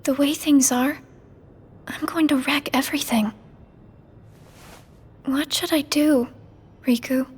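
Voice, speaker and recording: Game role: unsure teenage girl, soft and questioning, high-pitched, unhurried. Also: fairly even intonation. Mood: despairing. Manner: hesitant, soft-spoken, questioning. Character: troubled, gentle teenage girl replica unsure of herself. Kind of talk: video game cutscene voice acting.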